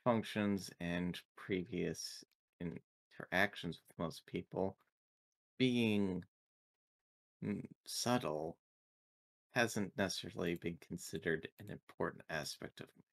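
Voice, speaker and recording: A man voicing a formal, literal, loyal android aide with stilted, deliberate phrasing. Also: deep voice, gravelly, heavily acted. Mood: measured, formal.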